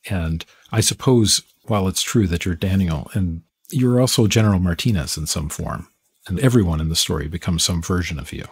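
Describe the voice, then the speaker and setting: A man hosting an interview podcast in a measured, conversational way. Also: sits low, husky.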